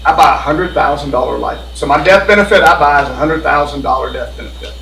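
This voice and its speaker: A man's voice: fairly high pitch, fast, vocal fry, fairly bright tone, slight background noise. A man explaining insurance options to a meeting in a folksy, repetitive way. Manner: folksy, explanatory, repeats himself. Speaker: insurance benefits presenter. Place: board meeting room. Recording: echoey room, mic at a distance.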